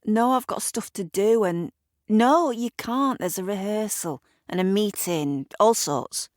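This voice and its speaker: A woman playing an evasive daughter putting on brisk, defensive cheer in an audio drama phone call. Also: medium pitch, dry recording, studio quality, voice pushed up, natural voice. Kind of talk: audio drama character dialogue.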